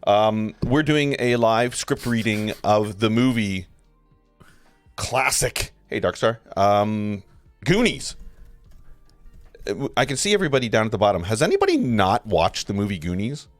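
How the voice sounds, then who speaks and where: Animated low-voiced man hosting a livestream. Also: normal speed, no room sound, faint music behind. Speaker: livestream host. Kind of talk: casual livestream chat.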